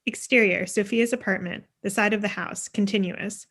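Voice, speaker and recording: Female narrator, young adult, mid pitch, reading stage directions for a script table read. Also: fairly even intonation, moderate pace, fairly bright tone. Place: video call from home.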